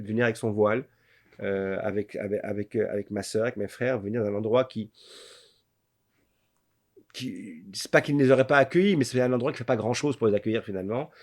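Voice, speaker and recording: A man in his forties, a playwright being interviewed, talking reflectively and thinking aloud with frequent restarts. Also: lowish voice. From Belgium. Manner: reflective, many restarts and fillers, builds lists. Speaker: guest, playwright. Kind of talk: candid podcast interview.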